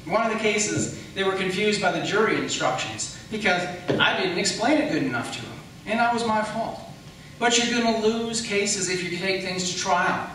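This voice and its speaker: A man's voice: high voice, expressive, moderate pace, bright, forceful tone. An American man speaking in a campaign debate as an attorney, firm and explanatory, repeating points for emphasis. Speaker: county attorney seeking re-election. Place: echoey hall at a public debate. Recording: very echoey room, distant mic, faint noise.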